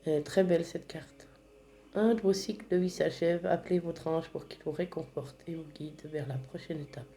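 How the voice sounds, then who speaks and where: A woman giving a spiritual oracle card reading, speaking slowly and gently, slightly congested from a cold. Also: low voice, fairly even intonation. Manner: slow, gentle, reads card texts aloud.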